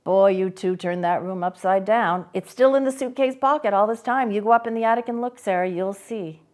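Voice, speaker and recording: Young man in a hospital bed, read by a woman, teasing, quietly certain, a bit higher and louder than the narration. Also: a little fast, lightly acted. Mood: insistent. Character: young man, recovering patient, teasing, oddly knowing.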